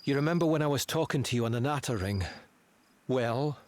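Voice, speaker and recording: A man's voice: medium pitch, brisk, a little mellow, close to an everyday voice. A man playing an earnest, loyal townsman in a video game drama, speaking with emphatic feeling. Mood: weary, urgent. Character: earnest townsman Roddy, loyal, frightened and indignant.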